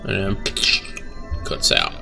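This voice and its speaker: A young man, a bassist, talking casually about his band's video in a laid-back, rambling way with lots of fillers and some swearing. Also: slow, distant mic, over music. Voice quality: low voice. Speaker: bass player reacting to his band's video. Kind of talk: casual reaction vlog.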